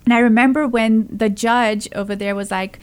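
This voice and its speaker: A woman's voice: on the high side, steady tone, moderate pace, clean tone. A woman in her thirties from Zambia, a podcast guest telling her story in casual talk, earnest, with many fillers and restarts. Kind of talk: casual conversation.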